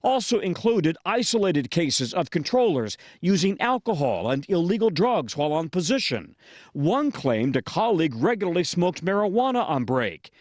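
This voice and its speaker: A man's voice: sits high, sing-song, moderate pace, no echo, studio quality. A man with an American accent delivering a scripted television news report as a correspondent.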